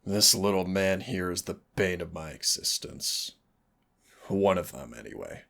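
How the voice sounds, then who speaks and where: A man voicing a brooding demon prince who is tender toward his hunter lover, gravelly and husky, slow and intimate in a romantic audio roleplay. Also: sits low, expressive, fairly bright tone, exaggerated voice. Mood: cold, controlled menace. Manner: slow, dramatic, intimate delivery. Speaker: voice actor.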